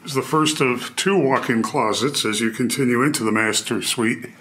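A man narrating a walkthrough of an empty apartment, describing each room in an upbeat real-estate pitch. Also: moderate pace, a bit bright. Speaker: apartment tour host. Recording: echoey empty rooms.

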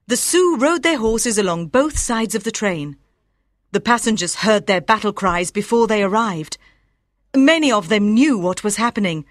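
Clear female audiobook narrator, dry sound. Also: medium pitch, lively intonation, normal speed, no room sound. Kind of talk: scripted story narration for language learners.

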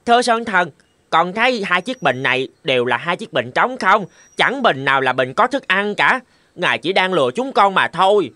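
A man's voice: sits high, sing-song, moderate pace, full character voice. A man voicing a shrewd, confident fox who reasons things out in a cartoon fable. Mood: bold, challenging. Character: shrewd, confident male fox, clever and composed. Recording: loud music behind the voice. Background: loud jingling music.

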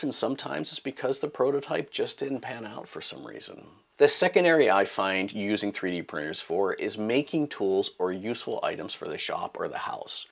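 Lively middle-aged man explaining to camera. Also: creaky. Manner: lively, emphatic openings that drop low at phrase ends. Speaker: maker channel host. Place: home workshop room with purple lighting.

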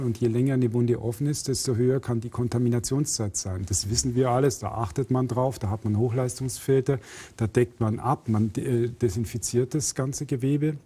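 A man's voice: mid-range voice, mellow, slightly rough. A man in his fifties, a medical expert guest explaining things conversationally in a studio interview. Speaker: hand surgeon, expert guest. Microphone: clip-on lapel mic.

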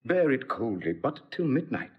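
An actor playing a cold, scheming nobleman, measured and insinuating, in classical Shakespearean style. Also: mid-range voice, big pitch swings, breathy edge, dull audio. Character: cold scheming nobleman villain, slandering brother. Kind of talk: classical Shakespearean audio drama acting.

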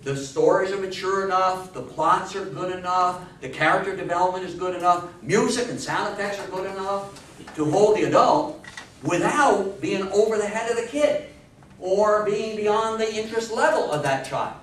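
A man in his sixties giving an animated talk to an audience, telling stories emphatically, repeating phrases and asking questions. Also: high-pitched, normal speed. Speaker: lecturer on old-time radio history. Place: meeting room with seated older audience. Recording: roomy, distant camera mic with background noise.

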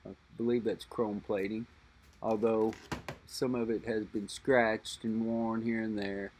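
A middle-aged man talking unscripted about a vintage lighter he holds, musing aloud and pausing to think as he describes it. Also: on the low side, little pitch movement, darkish tone. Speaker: lighter collector and reviewer. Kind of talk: unscripted show-and-tell narration.

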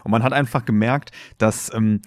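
A man co-hosting a podcast in casual chat, halting and often rephrasing as he goes. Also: fairly low pitch, steady tone, darkish tone, dry recording, studio quality. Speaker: podcast co-host.

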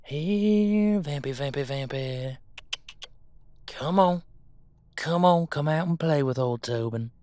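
A man's voice: fairly high pitch, slow, long pauses, mellow, no room sound. A man voicing a vengeful, taunting monster hunter with a menacing drawl in an audio roleplay. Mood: mocking.

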